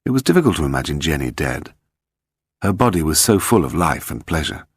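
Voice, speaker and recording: A British man narrates a story from a script. His voice is low and creaky, with expressive, lively intonation, recorded dry and close. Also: brisk, no echo. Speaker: audiobook narrator.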